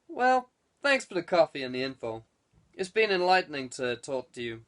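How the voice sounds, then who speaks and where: A man's voice: on the high side, very animated, normal speed, fairly bright tone. A man voicing a courteous, inquisitive male police detective in an audiobook. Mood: polite. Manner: polite, casually inquisitive questioning. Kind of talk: audiobook character dialogue.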